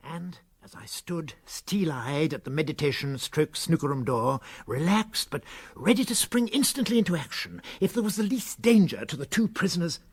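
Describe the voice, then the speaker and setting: A man plays a self-important prison governor in a British radio comedy. His voice is fairly high and husky, rising and falling theatrically. He sounds weary and pompous, speaking with a British accent. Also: expressive, moderate pace, hardly any pauses. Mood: pompous, exasperated.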